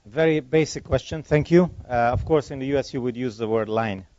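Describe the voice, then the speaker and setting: A man's voice: medium pitch, fairly quick, mellow, no room sound. A middle-aged man, a native Hungarian speaker with a Hungarian accent, answering audience questions at a conference in a chatty, digressive way that corrects itself as he goes. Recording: dull lo-fi conference recording.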